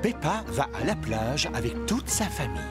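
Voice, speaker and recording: A man narrating a children's cartoon in a gentle storybook tone. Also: medium pitch, sing-song, normal speed. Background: cartoon music.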